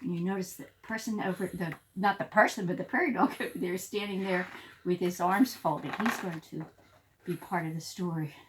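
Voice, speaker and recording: A woman reading a picture book aloud to young children as a teacher, expressive and pausing to explain things. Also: fairly low pitch, noisy background. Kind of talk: animated read-aloud storytelling.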